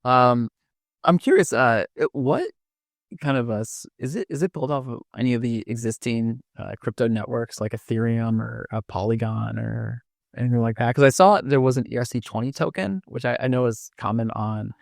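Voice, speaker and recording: A man hosting a podcast in a casual interview style, speaking in a halting, informal way. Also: medium pitch, vocal fry, dry recording, clean studio sound. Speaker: podcast host.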